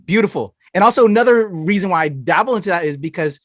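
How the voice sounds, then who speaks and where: A male podcast host asking halting questions and gushing with affirmations. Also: high voice, animated, fairly bright tone, no echo, clean studio sound. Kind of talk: casual podcast interview.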